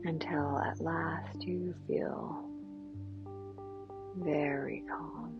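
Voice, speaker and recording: Slow, low-pitched woman guiding meditation. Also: steady tone. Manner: soothing, gentle, unhurried with long pauses. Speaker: yoga teacher and meditation guide. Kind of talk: guided meditation. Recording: dull, lo-fi sound. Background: clear background music.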